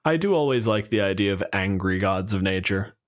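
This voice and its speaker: A man's voice: lowish voice, lively intonation, brisk. A man co-hosting a podcast in casual chat, arguing his points back and forth with qualifications. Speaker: podcast co-host. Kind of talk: casual chat about tabletop game monsters.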